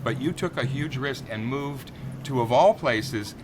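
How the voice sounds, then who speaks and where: A man's voice: medium pitch, a little slow, noisy background. A man hosting an interview, upbeat and reading out his guests' introductions. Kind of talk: conversational interview.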